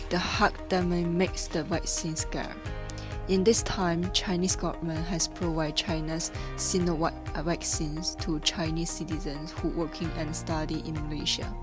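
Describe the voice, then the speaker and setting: A woman presenting a news report, reading scripted copy. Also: fairly low pitch. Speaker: news anchor. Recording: music behind.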